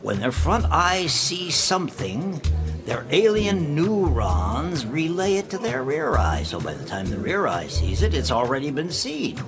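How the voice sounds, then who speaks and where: Older, creaky, animated professor. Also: male voice, on the high side, measured pace, over music. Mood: philosophizing. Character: older, wry, retired archaeology professor and rambling philosopher. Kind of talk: comic audio drama acting.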